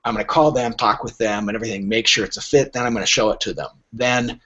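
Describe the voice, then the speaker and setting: A man's voice: fairly low pitch, fairly even intonation, quick pace, a bit bright, highs cut off. A man in conversational explaining mode as a podcast guest, emphatic, often repeating words as he starts and posing rhetorical questions. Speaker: literary agent, guest.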